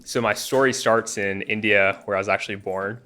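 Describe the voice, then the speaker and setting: Bright-voiced young man giving a testimony. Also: on the low side, steady tone, a little fast, a little hiss or hum. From United States (Alabama). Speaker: church member giving testimony. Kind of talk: personal testimony talk.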